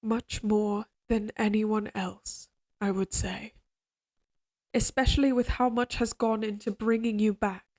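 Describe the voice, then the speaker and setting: Woman acting a slow-speaking, regal evil queen. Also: mid-range voice, flat delivery, darkish tone. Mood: tender, reassuring. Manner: formal, measured, courtly diction. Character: regal possessive evil queen, tender yet coldly controlling. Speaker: voice actor in audio roleplay. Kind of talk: slow dramatic audio roleplay monologue.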